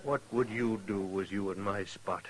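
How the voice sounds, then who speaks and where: A man's voice: fairly low pitch, moderate pace, a little hiss or hum, close to an everyday voice. An actor playing a grieving, stubborn cowboy in a radio western, brooding and folksy in his talk. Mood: resolute, sad. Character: grieving stubborn cowboy muleteer, man bent on vengeance. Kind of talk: old-time radio western acting.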